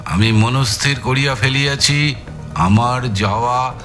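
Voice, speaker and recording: Male actor as teasing older sleuth, audio drama, unhurried, forceful tone, musing hums. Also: mid-range voice, faint music behind, natural voice. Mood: reading gravely. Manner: drawling, musing, punctuated with thoughtful hums. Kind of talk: dramatised audio story acting.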